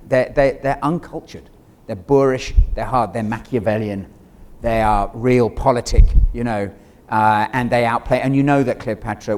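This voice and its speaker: A man's voice: mid-range voice, a little mellow. A man speaking as an actor guest in a conversational stage discussion, thinking aloud with asides. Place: on a theater stage. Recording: clear background noise, stage ambience.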